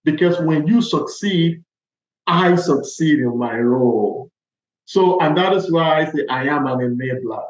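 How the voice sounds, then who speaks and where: A man's voice: on the high side, lively intonation, unhurried. Nigerian man in an echoey, slightly muffled room. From Lagos, Nigeria. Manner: emphatic, punctuates points with "okay" and "all right". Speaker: podcast guest, agile transformation consultant. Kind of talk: conversational interview answers.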